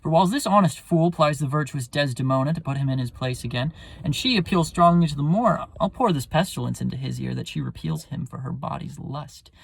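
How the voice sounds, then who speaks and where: Man acting a Shakespearean villain, sly and plotting, theatrical, deliberate, creaky, dry sound. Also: on the high side, dry recording. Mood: gloating, sinister. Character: scheming, smooth male villain plotting ruin. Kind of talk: Shakespearean soliloquy.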